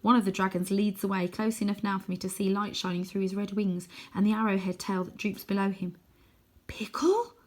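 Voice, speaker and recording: A woman reading a children's novel aloud as expressive narration, with dramatic, animated emphasis. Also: medium pitch, quick pace, hardly any pauses.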